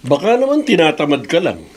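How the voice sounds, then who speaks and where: A man with a Filipino accent playing a brisk, dismissive office boss in a radio drama. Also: a little high, huge pitch swings. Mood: dismissive, persuading.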